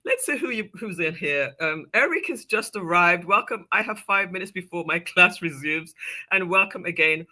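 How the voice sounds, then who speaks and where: A woman's voice: lowish voice, lively intonation, normal speed, pushed and bright. A woman hosting a local TV interview show, chatty and welcoming, often laughing. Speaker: host.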